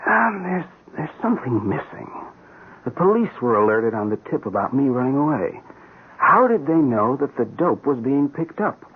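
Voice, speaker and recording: A hurt police sergeant in an old radio play, earnest, really expressive, tinny sound w/ faint noise. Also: male voice, mid-range voice, normal speed, breathy edge. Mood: troubled. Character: wounded police sergeant recovering, earnest, relieved and grateful. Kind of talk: radio drama acting.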